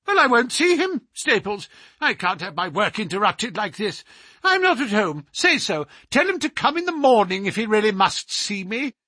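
Storybook villain: a high, penetrating, petulant voice, lively and shrill, close and dry. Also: male voice, moderate pace, breathy edge, no room sound, heavily acted. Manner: shrill, querulous, screaming when angry. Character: petulant, sinister small frail older man.

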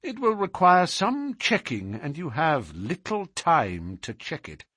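A man voicing an urbane, wry English gentleman detective in an audiobook, courteous, precise and self-assured. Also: a little high, huge pitch swings, unhurried, slightly rough, no room sound, studio quality, pitched above the performer's own voice, exaggerated voice. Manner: courteous, ironic, precise, unruffled.

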